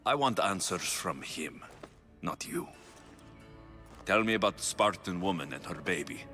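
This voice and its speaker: An actor playing a blunt, determined Spartan mercenary in a video game, with a sardonic edge. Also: male voice, low-pitched, lively intonation, a little slow, bright, forceful tone, soft background music, full character voice. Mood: stern, probing. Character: blunt, determined male Spartan mercenary searching for his mother.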